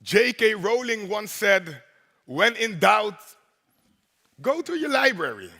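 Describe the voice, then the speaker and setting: Deep-voiced man hosting in a hall. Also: sing-song, slow, sharp tone. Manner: playful, rhythmic, crowd-engaging with repeated "right?". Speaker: host and MC. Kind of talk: opening speech at a congress. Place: large conference hall. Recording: long hall reverb.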